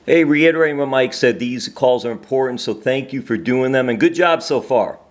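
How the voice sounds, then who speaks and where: A man's voice: medium pitch, normal speed. A male journalist putting a question during a press conference call.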